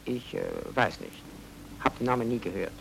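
An actor playing an obliging but shady Viennese baron in a radio drama, with a courteous, theatrical, evasive manner. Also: male voice, medium pitch, moderate pace, gravelly, fairly bright tone, a little hiss or hum, rough recording. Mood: guarded.

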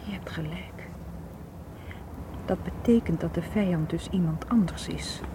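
An actress playing an anxious, self-reproaching female tour guide in a radio drama, hushed and emotional. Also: fairly low pitch, unhurried, in a whisper, close to an everyday voice.

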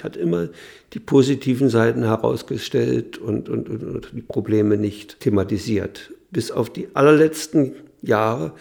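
Older male interviewee, very creaky mid-range voice, reminiscing haltingly with sudden emphatic bursts. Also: medium pitch, a little mellow. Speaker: interviewee, son recalling his parents. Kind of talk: spontaneous reminiscence.

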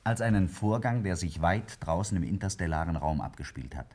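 A man's voice: sits low, lively intonation, a little fast, darkish tone. A man acting a self-assured scientist in a 1950s German radio play, arguing didactically and emphatically with slight irony. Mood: confident, lecturing. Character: Kingsley, self-assured male scientist arguing a bold theory.

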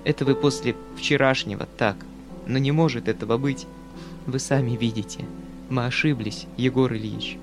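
A gentle young governess speaks in a soft, subdued and resigned way, close to tears, as a male narrator reads her. Her voice stays near the reader's own. Faint music plays behind the storybook reading. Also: mid-range voice, fairly even intonation, moderate pace, creaky, close to an everyday voice. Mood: tearful.

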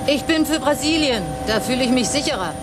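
An actress playing a cool, mocking revolutionary who gives orders with sardonic politeness in a radio play. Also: female voice, sits high, animated, a little fast. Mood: commanding. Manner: sardonic, commanding, mock-polite. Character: cool, mocking woman revolutionary hijacking a helicopter. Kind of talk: German radio play acting. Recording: loud helicopter engine noise behind.

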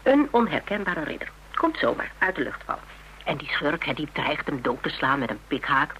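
A woman's voice: low-pitched, sing-song, normal speed, a bit bright. An actress playing a spirited, teasing woman in a radio drama, telling things playfully and dramatically with self-mockery. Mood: playful, dramatic. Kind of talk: Dutch radio drama dialogue. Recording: hummy, noisy old radio recording.

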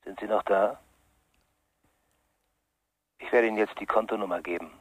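An actor playing a cold, secretive male blackmailer in a German radio crime drama, quiet and insistent. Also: lowish voice, steady tone, normal speed, breathy edge, dry recording. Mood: cold, menacing.

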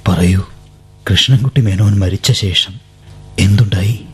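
An actor playing a quiet man who asks after old acquaintances, hushed and subdued. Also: lowish voice, measured pace, soft background music, close to an everyday voice. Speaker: actor in radio drama.

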